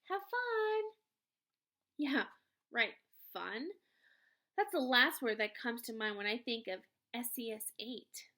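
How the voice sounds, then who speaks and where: A woman reading a children's novel aloud in an expressive, emphatic storytelling manner. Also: a little high, measured pace. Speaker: read-aloud reader, teacher.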